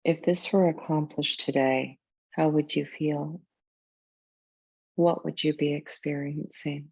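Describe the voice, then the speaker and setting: A woman teaching yoga nidra, narrating a guided meditation in a soothing, deliberate way with measured pauses. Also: low-pitched, fairly even intonation, creaky, darkish tone, husky, dry recording, lo-fi sound.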